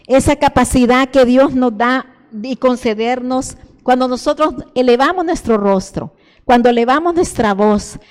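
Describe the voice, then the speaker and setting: A woman's voice: on the high side, a little mellow. An older woman from El Salvador with a Salvadoran Spanish accent, teaching a church sermon in a fervent, repetitive way, often restarting her words. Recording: long reverb in a church hall.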